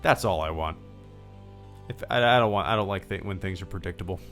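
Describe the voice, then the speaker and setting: Low-voiced man chatting on a livestream. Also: brisk, a bit bright, soft background music. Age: 30s. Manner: rambling, full of fillers and restarts; reads out viewer thank-yous. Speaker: livestream host. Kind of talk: casual livestream chat.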